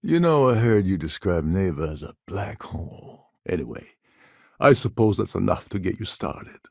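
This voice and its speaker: An actor playing a weary, wry older space pirate posing as a ship captain in a video game, gravelly and husky, with a sardonic, conspiratorial manner. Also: male voice, deep voice, lively intonation, moderate pace, no room sound, studio quality, full character voice. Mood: wry, guarded. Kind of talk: video game character dialogue.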